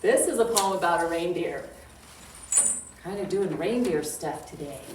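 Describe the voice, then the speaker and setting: A woman's voice: fairly low pitch, lively intonation, normal speed, a little mellow. A woman hosting a storytime for young children, speaking in a playful sing-song way and asking simple questions. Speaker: children's library storytime host. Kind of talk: children's storytime talk, reciting poems and singing. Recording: roomy echo, distant mic, faint noise.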